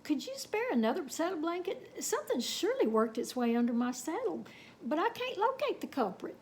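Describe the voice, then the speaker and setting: A woman's voice: extremely high pitch, very animated, a little fast, a little mellow, slight background noise, voice pushed up. A woman voicing a polite, long-suffering cowboy in a storybook, with a courteous folksy drawl. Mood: polite, uncomfortable.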